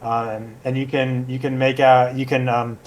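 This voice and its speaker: A man's voice: medium pitch, little pitch movement, a little slow. A man guesting on a podcast, explaining something in casual chat, hesitant and trailing off as he searches for words. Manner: hesitant, many fillers and restarts, trails off.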